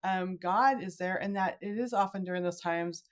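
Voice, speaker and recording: A middle-aged woman hosting a podcast interview in a casual, chatty and expressive way. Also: on the low side, normal speed. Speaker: podcast host.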